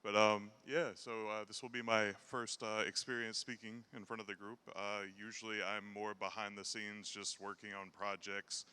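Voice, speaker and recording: A man with a low voice giving his first conference talk, hesitant and full of fillers, holding the mic close. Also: flat delivery, normal speed, few pauses, pushed and bright, clean tone, big-room sound. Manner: hesitant, many fillers and restarts. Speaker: conference speaker. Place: large conference hall. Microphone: handheld mic held close.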